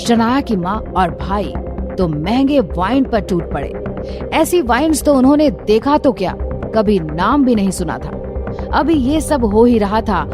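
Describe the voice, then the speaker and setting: High-pitched woman narrating a drama. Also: big pitch swings, unhurried. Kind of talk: scripted dramatic story narration. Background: background music.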